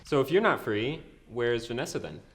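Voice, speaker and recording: A young man acting an exasperated young writer in a comedy web series, with an emphatic, animated delivery. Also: medium pitch, normal speed, slight background noise. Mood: casual, amused. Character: exasperated young writer haunted by his dead friends.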